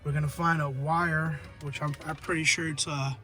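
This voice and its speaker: A man's voice: fairly high pitch, flat delivery, moderate pace, sharp tone, soft background music. A man narrating a casual hands-on tutorial, informal and thinking aloud as he works. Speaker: how-to video host.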